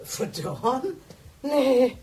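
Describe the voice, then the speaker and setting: An actress playing a headstrong female police inspector in a radio drama, with a husky voice and a defiant, emotional manner. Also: high-pitched, expressive, very slow, mellow, full character voice. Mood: defiant, irritated. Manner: defiant, emotional, natural dialogue.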